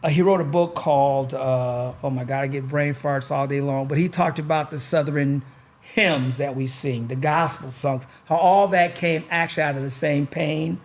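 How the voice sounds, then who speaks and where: A man hosting a podcast, talking in a relaxed, rambling way with lots of pauses and fillers. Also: fairly high pitch. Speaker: podcast host. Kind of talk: casual chat.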